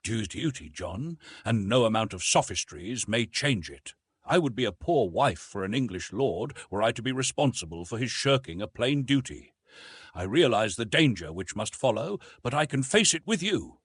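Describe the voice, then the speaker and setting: A male reader puts on a young noble wife in a storybook adventure. She speaks formally and firmly, with a dutiful, principled air. The voice is slightly husky and moves expressively up and down. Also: on the low side, very animated, moderate pace, no echo. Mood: firm resolve.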